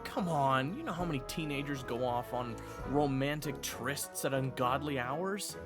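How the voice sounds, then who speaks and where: An actor playing an earnest, urgent teenage knight in an audio roleplay drama, protective and stubborn, with dramatic, emphatic delivery. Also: male voice, medium pitch, lively intonation, a little slow, over music, natural voice. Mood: pleading. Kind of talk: audio roleplay drama with first-person narration.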